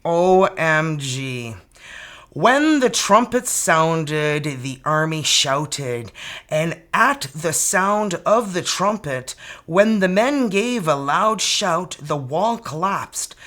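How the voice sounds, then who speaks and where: A tarot reader in their fifties, talking in a loose, chatty way and breaking into exclamations. Their speaking pitch sits in the middle of the usual range. Card quotes are read out slowly and carefully. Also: a bit bright. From Canada. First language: French. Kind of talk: casual tarot reading to camera.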